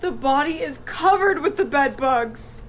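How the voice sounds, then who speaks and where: A frantic female survivor of an infestation, acted by a woman for horror audio drama. The voice is high and slow, swinging widely with melodramatic, breathless panic. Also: lively intonation, a little hiss or hum. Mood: urgent.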